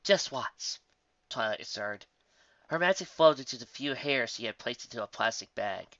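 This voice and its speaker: A man's voice: mid-range voice, lively intonation, a little fast, a bit bright, no room sound, highs cut off. A man reading a scripted story aloud as its narrator. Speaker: narrator reading fan fiction.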